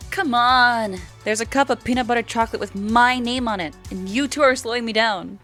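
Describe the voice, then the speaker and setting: A woman playing a blunt, bossy, brown-furred female alien in audio fiction, with a flat, brusque delivery. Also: on the high side, a little fast, faint music behind. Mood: playfully bossy. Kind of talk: audio fiction character acting.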